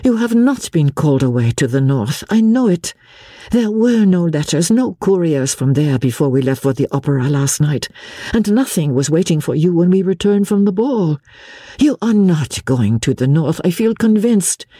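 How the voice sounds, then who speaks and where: A reader voicing an anxious young noblewoman who pleads with her husband in quick, nervous speech. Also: mid-range voice, animated, mellow, dry recording, clean studio sound, voice pushed up, close to an everyday voice. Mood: feverish, pleading. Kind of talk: audiobook character voice.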